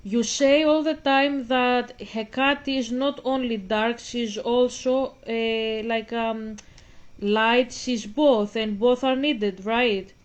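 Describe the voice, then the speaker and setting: Young woman, fairly high voice, rambling reaction vlog, talks to viewers. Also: fairly even intonation, slow pace. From Greece. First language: Greek. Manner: rambling, self-interrupting, talks straight to viewers. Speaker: vlogger. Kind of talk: casual reaction commentary.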